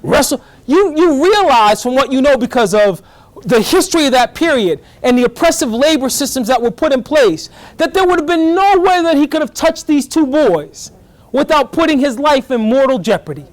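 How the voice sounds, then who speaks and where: Man, lecturer, very high voice, dry close sound, animated, quick bursts. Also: no room sound. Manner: animated, fast bursts, keeps checking in with the class. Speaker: guest lecturer, professor. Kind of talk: lively classroom lecture. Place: college classroom.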